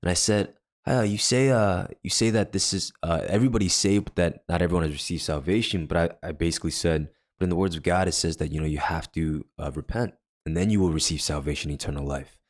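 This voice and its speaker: A young man with a deep voice, a podcast co-host telling a story in casual chat with many fillers and restarts. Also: quick pace, hardly any pauses. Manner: rambling, filler-heavy storytelling.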